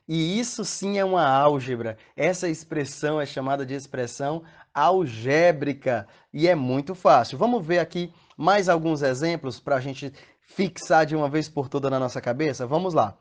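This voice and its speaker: Patient Brazilian male math teacher. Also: fairly high pitch. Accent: Brazilian Portuguese. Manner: patient and explanatory, asks rhetorical questions, checks in with "tá?". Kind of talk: recorded classroom lesson.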